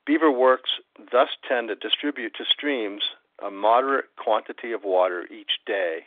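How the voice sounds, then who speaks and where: A man's voice: medium pitch, moderate pace, dry recording. A man doing a scripted reading of expository prose. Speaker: company president reading aloud. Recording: tinny phone-like audio.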